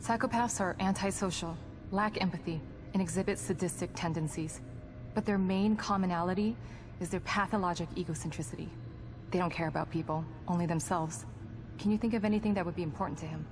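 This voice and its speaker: An actress playing a composed, guarded psychologist in a video game, speaking in precise, formal clinical terms. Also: female voice, fairly low pitch, fairly quick, background noise, close to an everyday voice. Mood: clinical, focused. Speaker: psychologist character in a horror game. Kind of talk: video game cutscene acting. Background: background music.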